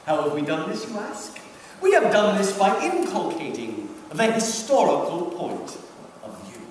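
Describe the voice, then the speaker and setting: A man performs a scheming senior devil, pompous and sardonic, in a dramatic letter reading. The pitch is high and swoops freely as he savors each deliberate phrase. It carries from far off in a very echoey hall. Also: huge pitch swings, full character voice. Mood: smug, sardonic. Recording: distant, very echoey hall, background noise.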